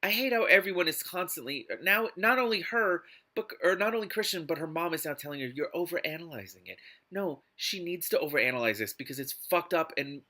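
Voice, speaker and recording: Bright, forceful man, exasperated and emphatic. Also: high-pitched, brisk, hardly any pauses. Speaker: reader and commentator on a book. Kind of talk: scripted reading broken by indignant commentary.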